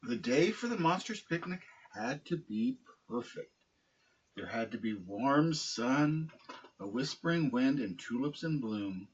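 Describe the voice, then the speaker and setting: A man with a husky voice reading a children's picture book aloud. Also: medium pitch, big pitch swings, measured pace, lo-fi sound, a little hiss or hum. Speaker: storybook reader, dog owner.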